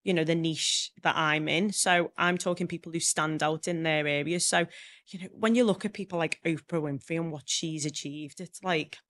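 A woman with a Liverpool accent, a public speaker and trainer, telling her story as a guest in a podcast interview. She speaks as a lively storyteller and acts out the voices of people she quotes. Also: low-pitched, normal speed. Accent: Liverpool (Scouse). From Liverpool, England.

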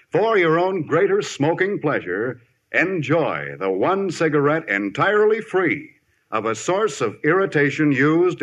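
A man's voice: a little high, a bit bright, dull audio. An American man announcing in the polished style of 1950s radio, reading sponsor copy and credits.

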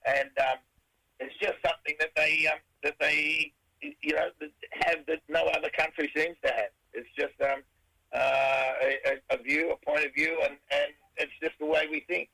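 A middle-aged Australian man with a raspy voice, a rock band singer answering interview questions in a rambling way, full of fillers and restarts. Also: fairly high pitch, moderate pace, sharp tone, rough recording.

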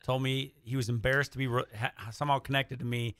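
A man's voice: medium pitch, level tone, fast, fairly bright tone, clean tone, dry recording, clean studio sound. A man speaking as a writer guest in a casual, joking, conversational radio chat.

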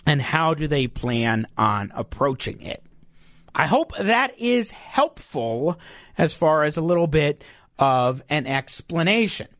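A man's voice: on the high side, fairly bright tone, dry recording, clean studio sound. A man hosting a local talk radio show, speaking in an emphatic, opinionated and conversational way. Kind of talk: talk radio commentary and interview. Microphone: studio microphone.

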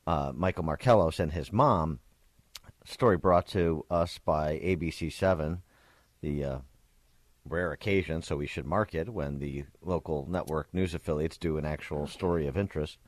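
A man's voice: sits low, moderate pace, no echo. A man hosting a morning talk radio show, chatting casually and wryly about the news. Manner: wry, conversational, rattles off phone numbers. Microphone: studio microphone.

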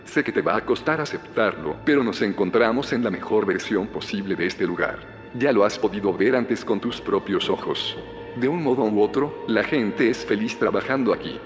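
Male actor as assured founder, Spain accent, calm and persuasive, audio drama, strongly reverberant, music underneath. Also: on the low side, moderate pace, close to an everyday voice. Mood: measured, persuasive.

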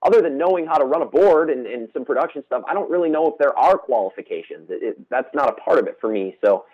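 Fast-talking young man. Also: medium pitch, lively intonation, dull audio. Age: 20s. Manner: earnest, eager, quick-talking. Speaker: student radio host, guest. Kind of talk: casual interview chat.

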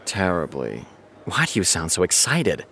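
An actor playing a weary male TV show creator, with a deadpan, sardonic, reluctant manner in a naturalistic audio drama. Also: low-pitched, moderate pace, full character voice. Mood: dry, weary.

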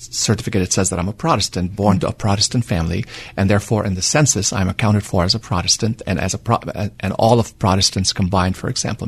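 A man's voice: on the low side, creaky, breathy edge, no echo. A man from Lebanon whose first language is Arabic, speaking in a light Lebanese accent as a radio guest, earnest and thoughtful, with many fillers and restarts. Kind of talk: conversational interview.

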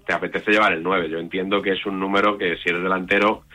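A man's voice: low voice, little pitch movement, fast, sharp tone, soft background music. A man hosting a football radio show, telling anecdotes in a wry, digressive way. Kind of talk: casual sports radio chat.

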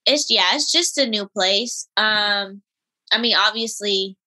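A woman in her twenties, an elite gymnast and interview guest, talking in a relaxed, giggly way with many fillers. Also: mid-range voice, takes it slowly. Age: 20s. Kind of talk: casual interview chat.

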